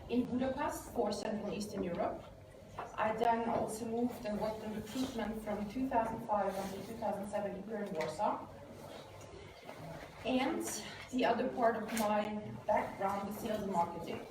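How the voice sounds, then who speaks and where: Woman career coach from Austria, middle-aged, lecturing casually in a big echoey room. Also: fairly high pitch. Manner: conversational, frequent fillers, rephrases herself. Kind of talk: live lecture with audience questions. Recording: echoey room, distant mic, background hiss.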